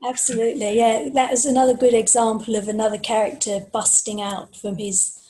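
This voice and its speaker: Higher-voiced woman with a mellow tone. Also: flat delivery, brisk, low-quality recording. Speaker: author on a podcast panel. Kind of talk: casual chat.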